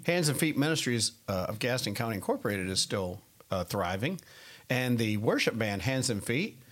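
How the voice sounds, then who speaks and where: A male pastor hosting a podcast in a relaxed, chatty way. He talks at an everyday middle pitch, leaning on fillers and breaking into laughs. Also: moderate pace. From United States. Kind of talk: casual chat.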